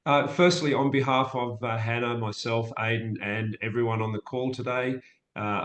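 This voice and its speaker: A man co-chairing a webinar, speaking haltingly with many fillers. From Australia. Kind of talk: webinar introduction. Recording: echoey room with faint rumble.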